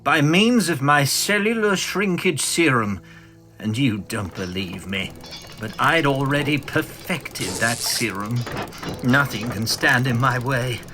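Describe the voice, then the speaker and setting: An actor playing a small, bitter, frantic mad professor in a vintage comic audio drama, agitated, halting and melodramatic. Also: male voice, medium pitch, lively intonation, music in the background. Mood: bitter, boastful.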